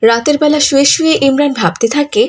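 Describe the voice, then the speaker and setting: A woman's voice: high voice, quick pace. A woman reading scripted narration for a cartoon story.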